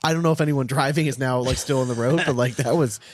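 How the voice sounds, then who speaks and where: A man's voice: mid-range voice, quick pace. A man in his thirties co-hosting a podcast in casual, rambling banter with some swearing. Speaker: podcast co-host. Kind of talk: casual chat.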